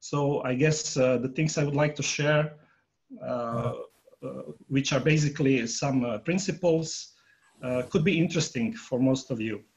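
A man in his fifties, a native Croatian speaker, giving a workshop talk on a video call from home, pausing often with fillers. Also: medium pitch. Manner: halting, many filler pauses, polling the audience. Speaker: workshop presenter. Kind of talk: online conference talk. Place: home room. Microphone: earphone headset on a video call.

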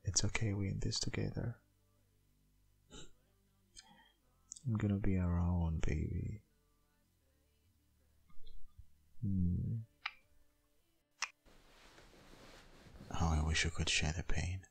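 Man acting very deep, husky, possessive boyfriend. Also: steady tone, exaggerated voice. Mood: reassuring, gentle. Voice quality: gravelly, breathy, husky, often half-whispered. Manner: slow, murmuring, lingering. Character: tender, possessive dominant boyfriend, doting on his partner. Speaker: ASMR roleplay performer. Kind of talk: intimate boyfriend ASMR roleplay.

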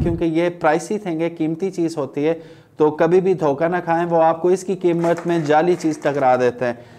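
A man's voice: fairly high pitch, darkish tone. A man, reviewing motorcycle grips in a chatty, persuasive way and mixing in English phrases, seated in a small indoor studio room. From Pakistan. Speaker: product reviewer. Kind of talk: casual product review.

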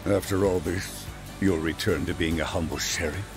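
Very deep gravelly demon king. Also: fairly even intonation. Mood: imperious approval. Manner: slow, commanding, disdainful. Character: towering demon king, stern, imperious, grudgingly fair father. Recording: music behind the voice.

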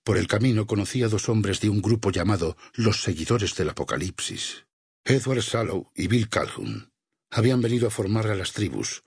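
Deep, raspy zealot warrior. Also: male voice, lively intonation, no room sound, clean studio sound, exaggerated voice. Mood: calm, reflective. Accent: Castilian Spanish. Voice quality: raspy, gravelly. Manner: grave, measured, preacherly. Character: solemn, devout, burned missionary warrior with smoldering zeal. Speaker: video game voice actor. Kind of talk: dramatic game dialogue.

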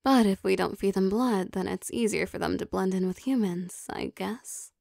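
A woman playing an affectionate, possessive vampire girlfriend in an intimate ASMR roleplay, speaking in a soft, teasing, coaxing manner. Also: mid-range voice, normal speed, gravelly, no room sound, clean studio sound. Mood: relaxed, affectionate. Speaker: voice actor.

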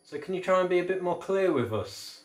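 A man, calling out questions to an empty, dark pub and repeating words back. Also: high-pitched, lively intonation, fairly quick. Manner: calls out questions to the room, echoing words. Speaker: paranormal investigator. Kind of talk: casual questioning.

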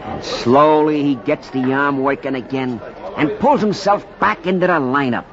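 An actor playing a folksy, colloquial baseball storyteller in a 1940s radio drama. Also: male voice, on the high side, moderate pace, a crowd in the background, natural voice. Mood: reflective. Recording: noisy, muffled 1940s radio recording.

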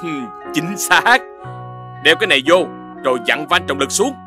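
A man's voice: on the high side, animated, normal speed, close to an everyday voice. A man with a southern Vietnamese accent voicing a clever, patient dog scientist who explains things in a children's cartoon. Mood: eager, instructive. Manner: explanatory, lecturing. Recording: loud children's cartoon music underneath.

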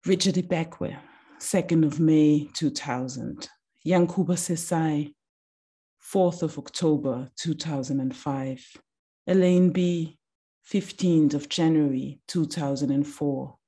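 A woman in her thirties giving a slow, deliberate reading of a reflective text over a video call from a small room. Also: sits low, warm tone, breathy edge. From Austria.

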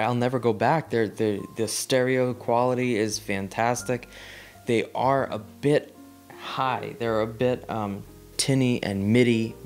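A young man talks through an audio gear review from a chair in a small living room, his voice sitting a little low. He rambles, restarting and stacking ums as he gestures, with faint music playing behind him. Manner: rambling, with frequent ums and repeated words; gestures with his hands while seated. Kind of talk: casual talking-head review.

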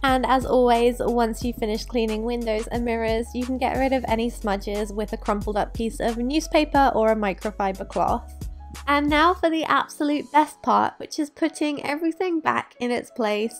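A young woman, giving upbeat, instructive narration while cleaning her bedroom. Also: fairly high pitch. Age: young adult. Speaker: lifestyle vlogger. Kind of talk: cleaning tutorial voice-over and to-camera vlog. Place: bright bedroom, indoors.

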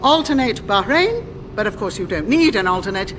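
A woman's voice: medium pitch, sing-song, brisk, a bit bright, slight background noise. An actress playing a bossy, impatient older English woman who runs a tiny airline, brusque and sarcastic, in a radio sitcom.

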